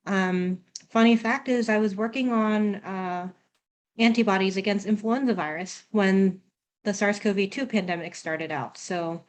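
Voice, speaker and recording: A young woman introducing herself casually as a student panelist on a video call. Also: medium pitch. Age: young adult.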